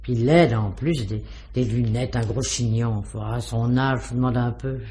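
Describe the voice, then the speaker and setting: A woman's voice: very deep voice, moderate pace, mellow, slight background noise. Nosy concierge woman, catty, chatty, insistent, vintage French radio mystery. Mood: catty, disapproving. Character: gossipy, spiteful, eager-to-help building concierge woman.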